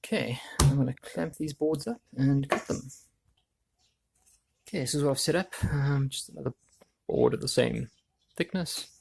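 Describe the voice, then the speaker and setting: A man with a gravelly voice explaining a woodworking job casually, thinking aloud as he works. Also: medium pitch, moderate pace, slight background noise. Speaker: DIY woodworking video host. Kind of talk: casual hands-on tutorial talk.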